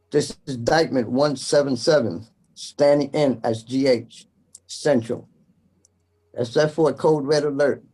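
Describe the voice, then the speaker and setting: A man practicing formal emergency radio procedure, announcing scripted messages in a deliberate way as a trainee net controller. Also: medium pitch, mellow, low-quality recording. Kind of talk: scripted radio procedure drill with call signs.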